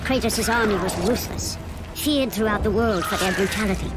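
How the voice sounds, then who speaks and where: An actress playing a solemn, portentous narrator of a Greek epic in a video game, with deliberate dramatic emphasis. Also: female voice, medium pitch, lightly acted. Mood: ominous. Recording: loud dramatic music underneath.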